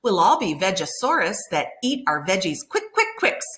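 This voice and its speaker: Bright, lively woman reading to children. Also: medium pitch, moderate pace. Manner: animated, enunciated for young children. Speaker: teacher reading aloud to children. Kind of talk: expressive children's picture book read-aloud. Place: room at home by a window, indoors.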